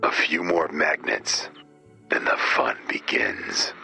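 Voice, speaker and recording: Very deep, gravelly brooding vigilante. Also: male voice, steady tone, slow pace, sharp tone, faint music behind, heavily acted. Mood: terse, secretive. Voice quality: gravelly, whispery growl. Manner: terse, grim, melodramatic. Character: brooding, vengeful, mysterious cloaked male vigilante antihero. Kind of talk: video game character dialogue.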